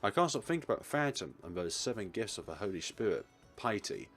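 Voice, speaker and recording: A man's voice: lowish voice, sing-song, a little fast, a bit bright. A man reading a visual novel aloud as narration for a let's play, now and then stumbling over a line. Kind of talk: expressive read-aloud narration. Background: game music.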